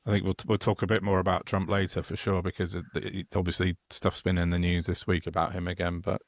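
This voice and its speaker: A man's voice: deep voice, level tone, fast, dry recording, studio quality. A man co-hosting a political podcast, chatting casually with frequent restarts and wry remarks. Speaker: podcast co-host.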